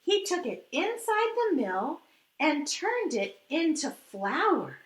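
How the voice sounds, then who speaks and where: A woman's voice: high voice, sing-song, takes it slowly. A woman telling a story to preschoolers as their teacher, in an exaggerated singsong manner that invites listeners to join in. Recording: echoey room.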